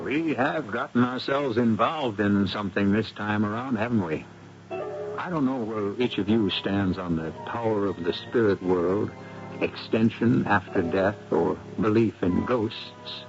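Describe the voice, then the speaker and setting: A muffled old radio recording of a man with a low voice. He hosts and narrates dramatically, brooding and deliberate, over faint music. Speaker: host and narrator.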